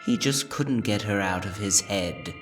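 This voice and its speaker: A horror series host giving a scripted outro with wry, mock-sympathetic, drawn-out delivery. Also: low-pitched, lively intonation, distant mic, over music.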